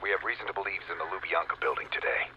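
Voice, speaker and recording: An actor playing a curt, hard-nosed spymaster in a video game, speaking bluntly and coldly. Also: male voice, deep voice, fairly even intonation, racing, close to an everyday voice. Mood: cold, terse. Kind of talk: dramatic video game cutscene acting. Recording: muffled radio sound, clear noise.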